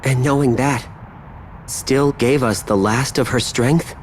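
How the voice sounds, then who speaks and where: An actor playing a determined young hero in a video game, speaking with earnest, insistent urgency. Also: male voice, medium pitch, takes it slowly, slight background noise, lightly acted, poor audio. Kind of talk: dramatic video game voice acting.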